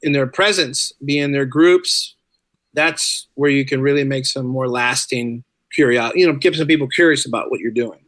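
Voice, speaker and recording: A man's voice: mid-range voice, normal speed, a bit bright, dry recording. A man chatting casually as a podcast guest, talkative and informal, often repeating phrases for emphasis. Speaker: podcast guest, writer and musician. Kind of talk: casual conversational interview.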